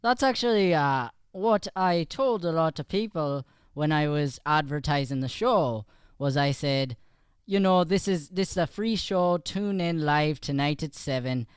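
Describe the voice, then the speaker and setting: Clear, slightly high male co-host. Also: lively intonation, unhurried, dry recording, clean studio sound. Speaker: improv performer and co-host. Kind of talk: casual banter on a live show. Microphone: podcast microphone.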